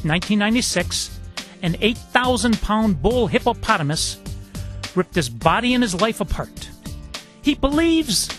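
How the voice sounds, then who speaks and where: A man hosting a radio interview show, introducing and questioning his guest with enthusiasm. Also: high-pitched. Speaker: radio talk show host.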